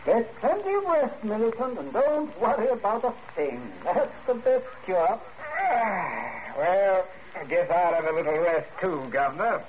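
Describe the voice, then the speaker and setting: An actor playing a breezy, callous, well-bred young son with brisk upper-class slang in a 1940s radio drama. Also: male voice, high-pitched, very animated. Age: young adult. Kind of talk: 1940s radio suspense acting. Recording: scratchy, muffled old radio broadcast.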